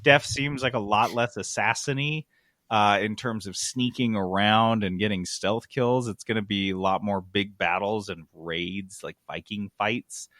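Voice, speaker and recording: Male podcast host, bright and punchy, dryly recorded, for casual, excitable gamer talk with plenty of swearing. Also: lowish voice, unhurried, dry recording, clean studio sound. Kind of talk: casual, animated gaming chat.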